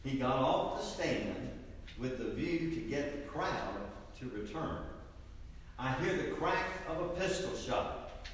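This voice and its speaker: Earnest male eyewitness acted by a man, middle pitch, formal phrasing, noisy echoing hall. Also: expressive, takes it slowly. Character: earnest 1870s eyewitness recounting a riot. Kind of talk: dramatic reading of testimony. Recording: echoey noisy hall, distant mic.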